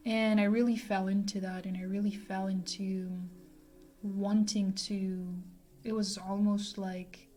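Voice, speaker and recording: A woman in her twenties giving a personal talk to camera in a halting, reflective way, often pausing mid-sentence. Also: medium pitch, flat delivery, mellow, soft background music. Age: 20s. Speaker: vlogger sharing a personal testimony.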